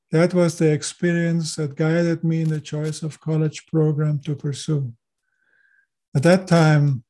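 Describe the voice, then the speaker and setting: Older man, Chilean upbringing, German native, slightly high voice, dark mellow tone, measured formal delivery. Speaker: guest. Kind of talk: podcast interview.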